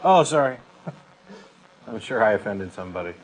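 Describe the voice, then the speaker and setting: A man's voice: on the low side, sing-song, unhurried, slight background noise, low-quality recording. A man speaks as a talk show host, debating in an emphatic, assertive and conversational way.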